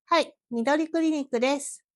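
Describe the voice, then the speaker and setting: Woman acting as polite phone receptionist lady, quick formal customer service talk, high voice, lesson role play. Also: dry recording, studio quality, lightly acted. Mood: polite, brisk. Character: polite female staffer answering business phone calls.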